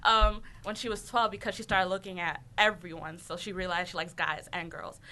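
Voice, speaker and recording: A woman's voice: fairly low pitch, fairly even intonation, fairly quick, bright, forceful tone. A teenage girl from the United States, co-hosting a student radio show in a chatty way, laughing often. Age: teens. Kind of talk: casual chat.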